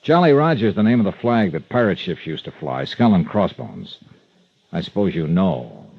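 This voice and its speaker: An actor playing a hard-boiled, impatient American investigator, brisk and blunt, in the style of old radio detective drama. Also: male voice, low-pitched, very animated, breathy edge, full character voice. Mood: probing. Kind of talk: 1940s-50s radio detective acting. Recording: dull vintage radio recording.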